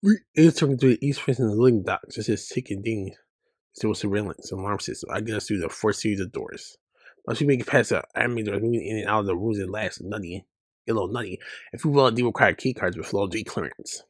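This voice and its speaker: A man's voice: fairly low pitch, fast, no echo, clean studio sound. A man performing dialogue from a dramatic story.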